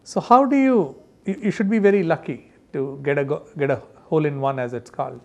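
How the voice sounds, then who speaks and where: A man's voice: fairly high pitch, vocal fry. Confident executive in his fifties. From India. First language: Tamil. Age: 50s. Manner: confident, expansive, pauses with "uh" between points. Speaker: company executive, interview guest. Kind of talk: interview answers.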